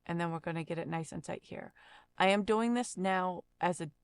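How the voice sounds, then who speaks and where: A woman instructing in a casual step-by-step software tutorial, explaining each step plainly into a close mic. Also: lowish voice, moderate pace, clean tone, no echo.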